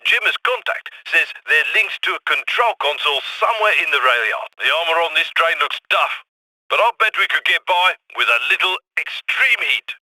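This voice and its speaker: A high-voiced, piercing radio heist planner. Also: male voice, expressive, moderate pace, sharp tone, dry recording, heavily acted, poor audio. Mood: focused instruction. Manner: encouraging, wry, addresses crew as friends. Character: male heist planner guiding crew, upbeat and wry. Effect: radio comms filter. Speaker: mission guide over radio. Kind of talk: video game voice acting, briefing.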